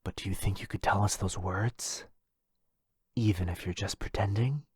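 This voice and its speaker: A man's voice: lowish voice, normal speed, husky, no room sound, studio quality. A man playing a lovestruck, possessive, centuries-old vampire brother in a boyfriend roleplay, tender and pleading. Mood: anxious pleading.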